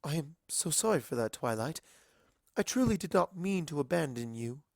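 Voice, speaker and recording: A man's voice: fairly high pitch, big pitch swings, normal speed, vocal fry, darkish tone, dry recording, studio quality, voice pushed up, heavily acted. A man voicing an apologetic adult female pony princess in a story reading. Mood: anxious, earnest.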